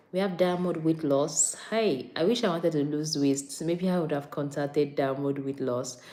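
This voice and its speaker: Nigerian-born woman practitioner, mellow low voice, casual livestream host reading viewer comments. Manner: chatty, repeats herself, reads out comments. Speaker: anesthetics and recovery practitioner. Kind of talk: live stream chat answering viewer questions. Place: on a break during night duty.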